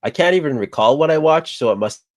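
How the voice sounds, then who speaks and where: A man co-hosting a casual chat, relaxed and rambling, laughing as he talks. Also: a little high, fast talker, no room sound, clean studio sound. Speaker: co-host.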